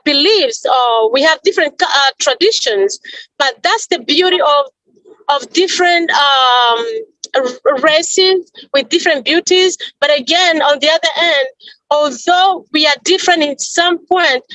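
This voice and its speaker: A woman from Congo, speaking earnestly as a panel speaker at an event, pausing and rephrasing as she goes. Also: sits high, pushed and bright, no room sound, rough recording. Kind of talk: earnest speech at an online panel.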